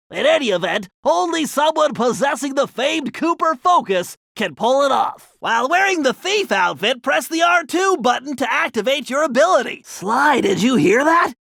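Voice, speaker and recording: A nerdy young male turtle from an animated video game, speaks in a very high, nasal voice with a creaky edge. He is bookish and anxious, giving earnest, precise mission briefings. The sound is dry and close. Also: dry recording, heavily acted.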